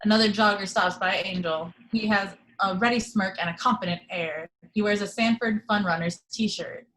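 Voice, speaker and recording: A woman narrates scene headings and action from a script. Her voice is of medium pitch, in the scripted reading style of an amateur table read. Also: steady tone, normal speed, a bit bright, rough recording.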